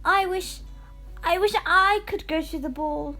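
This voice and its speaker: A wistful teenage fairy-tale heroine, acted by a female reader. She is polite and gentle, with a high voice and measured delivery over music. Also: exaggerated voice. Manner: polite, plaintive. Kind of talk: storybook character voice.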